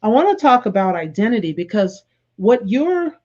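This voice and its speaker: A woman educator talks in an earnest, reflective way and often restarts her sentences. Her voice sits at a middle pitch, and the recording sounds slightly dull and lo-fi. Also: very animated, normal speed, darkish tone. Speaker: educator and author guest. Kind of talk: casual conversational interview.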